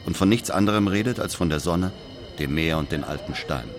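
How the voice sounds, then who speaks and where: An actor playing a brooding man who narrates a family tragedy in measured, terse radio drama monologue. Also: deep voice, music in the background, natural voice.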